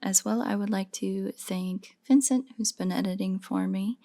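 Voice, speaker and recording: A soft-spoken woman casually addressing her listeners in a relaxed way, as an audio roleplay creator. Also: medium pitch, moderate pace. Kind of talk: casual outro thanking listeners.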